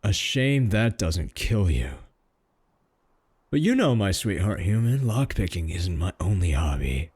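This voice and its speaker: A man playing a clingy, cocky male werewolf in a comedic roleplay audio drama, boastful, sarcastic and pleading, with a husky voice. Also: low voice, very animated, measured pace, a little mellow, no room sound, studio quality, full character voice. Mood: possessive.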